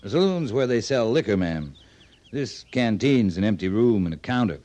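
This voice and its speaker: An actor playing a calm, wry young cavalry captain, courteous and laconic, in an old-time radio western. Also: male voice, fairly low pitch, normal speed, natural voice. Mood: patient, courteous.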